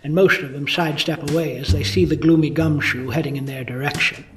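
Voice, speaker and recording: An actor playing a gloomy, hard-drinking 1950s police detective, narrating a noir radio drama with wry self-mockery. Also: male voice, fairly high pitch, fairly even intonation, brisk, distant mic, a crowd in the background, close to an everyday voice. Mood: brooding. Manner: brooding, wry.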